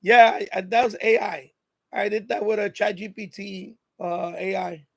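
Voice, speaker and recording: A man's voice: high-pitched, flat delivery, normal speed, creaky, no room sound, studio quality. A man hosting a casual live chat, rambling and often repeating himself as he talks to viewers. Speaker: livestream host.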